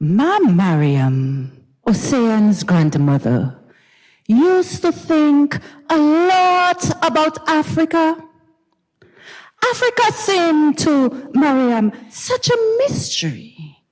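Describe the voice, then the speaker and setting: A woman with a Grenadian accent giving a dramatized story reading, expressive and gossipy, with musing hums and pauses. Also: high voice. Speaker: dramatic reader. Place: university recital hall stage. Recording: reverberant recital hall.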